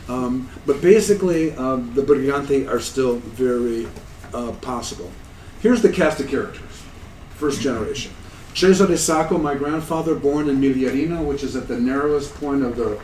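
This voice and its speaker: A man's voice: medium pitch, darkish tone, a little hiss or hum. A man in his sixties from the United States giving a conference talk, conversational and self-deprecating with an amused tone. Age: 60s. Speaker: conference presenter. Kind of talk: academic conference talk, anecdotal. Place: lecture room at a conference. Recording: echoey room, distant mic.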